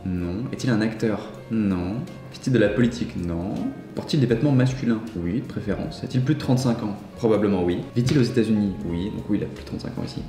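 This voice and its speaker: A young man chats casually over a guessing game, reading each question out and answering it himself. His voice sits low and mellow. The room around him rings with echo, and faint music plays underneath. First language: French. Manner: reads the game's questions aloud and answers them himself. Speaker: YouTuber playing an online guessing game. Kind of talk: casual gameplay commentary.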